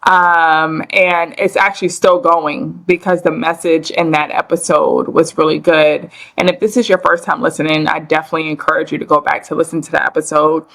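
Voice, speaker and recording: A young woman co-hosts a casual podcast. Her lowish voice has a gravelly fry to it. She praises her guest in an upbeat, gushing way. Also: little pitch movement, brisk, hardly any pauses, fairly bright tone. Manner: upbeat, effusive, enthusiastic introductions. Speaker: podcast co-host.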